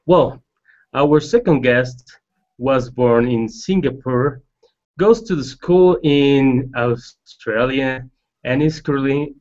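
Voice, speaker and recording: A man hosting an online talk show, politely welcoming guests and stacking several questions in a row. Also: mid-range voice. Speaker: host. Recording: muffled lo-fi call audio.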